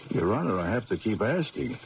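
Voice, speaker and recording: An actor playing a world-weary, skeptical police lieutenant in a radio drama, plain-spoken and questioning but deferential. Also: male voice, fairly low pitch, very animated, a little fast, creaky. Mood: doubtful. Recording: muffled old radio recording with hiss.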